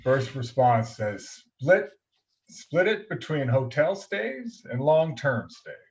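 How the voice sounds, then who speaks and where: A man's voice: mid-range voice, very animated, takes it slowly, highs cut off. A man moderating a webinar, reading out participants' chat responses with hesitant fillers over a video call.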